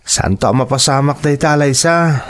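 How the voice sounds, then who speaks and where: A man's voice: medium pitch, normal speed, close to an everyday voice. A man playing an insecure, downhearted young graduate in an audio drama, speaking in an earnest, plaintive manner. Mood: anxious, pleading. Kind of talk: dramatized family radio-style drama.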